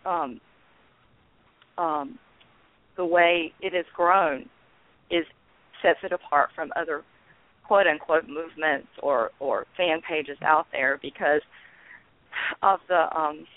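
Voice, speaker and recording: Earnest woman on a tinny phone line. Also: low-pitched. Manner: earnest, halting with frequent ums. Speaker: guest, founder of a peace blogging network. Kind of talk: phone-in interview, storytelling. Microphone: telephone.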